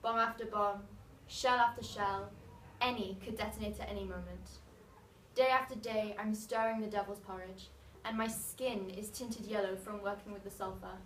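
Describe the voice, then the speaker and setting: A woman's voice: medium pitch, fairly even intonation, normal speed, fairly bright tone, close to an everyday voice. A woman performing a soldier's wife and munitions worker in a pointed, declamatory slam poem. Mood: bitter, angry. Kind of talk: dramatic slam poetry recital. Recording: noisy, echoey room, mic far off.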